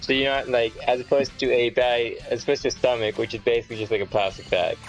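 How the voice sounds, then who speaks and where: A man's voice: medium pitch, fairly even intonation, quick pace, poor audio. A man chatting casually over a video game, arguing his case point by point. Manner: argumentative, point-by-point reasoning. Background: game music.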